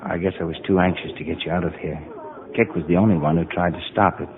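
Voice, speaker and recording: Guy playing mild sheepish husband, deep hesitant voice, muffled old radio play. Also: steady tone, fast talker, crowd noise, natural voice. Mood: earnest, apologetic. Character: gentle, apologetic husband soothing his sick wife. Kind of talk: mid-century American radio drama acting.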